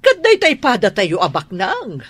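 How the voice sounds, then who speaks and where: A woman's voice: medium pitch, huge pitch swings, a little slow, a bit bright, husky, heavily acted. A woman acting a stern, controlling wealthy mother in a radio drama, speaking in a commanding, scolding way. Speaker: Madam Carla, employer and mother.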